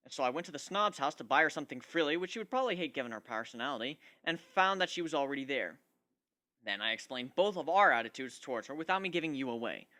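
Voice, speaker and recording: Bright-voiced man narrating a story. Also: a little high. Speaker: amateur story reader. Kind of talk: dramatic reading of fan fiction, narration.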